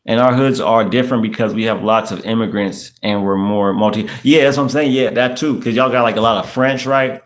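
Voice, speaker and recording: A man's voice: on the low side, fairly even intonation, a little fast, few pauses. A man in his late thirties from the US, hosting a casual livestream, chatting loosely, repeating himself and using street slang. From United States. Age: 30s. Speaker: podcast host. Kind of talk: casual livestream chat reading comments.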